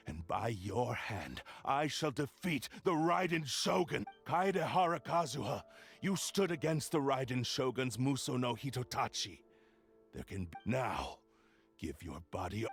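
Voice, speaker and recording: An actor playing an arrogant, obsessive cursed sword spirit in a video game, haughty and menacing, with gravelly theatrical delivery. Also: male voice, mid-range voice, lively intonation, unhurried, soft background music. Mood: defiant, menacing. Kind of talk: dramatic video game voice acting.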